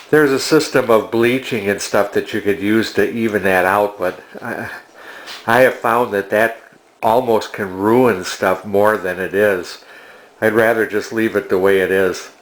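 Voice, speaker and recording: An older man with a fairly low voice talks through a hands-on project. He is plainspoken and matter-of-fact, often halting as he casually explains each step. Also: fairly even intonation, a bit bright, rough recording. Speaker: antique restoration hobbyist demonstrating a refinishing project.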